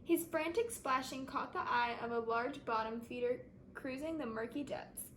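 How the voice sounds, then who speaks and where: A woman reading a storybook aloud to children, in expressive scripted narration. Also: fairly high pitch, normal speed, a little hiss or hum. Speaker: storybook reader.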